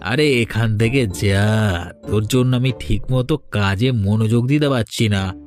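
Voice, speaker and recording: A man's voice: fairly low pitch, unhurried, a little mellow, soft background music, natural voice. A man playing a grumpy, defensive street food seller who scolds and grumbles in a cartoon folk tale. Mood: scornful.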